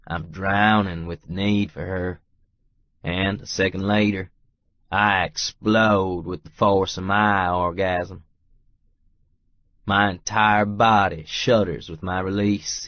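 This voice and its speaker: Deep-voiced man, slow audiobook narration. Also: no room sound, highs cut off. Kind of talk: first-person romance audiobook narration.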